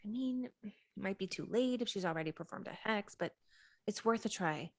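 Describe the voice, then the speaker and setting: A woman's voice: medium pitch, lively intonation, normal speed. A woman reading the part of an earnest, anxious young witch in a script table read. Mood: thinking aloud.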